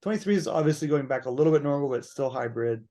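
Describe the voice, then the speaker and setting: A man's voice: mid-range voice, expressive, very fast, mellow. A man hosting a podcast, interviewing a guest in a chatty, affirming way and laughing easily. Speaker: podcast host.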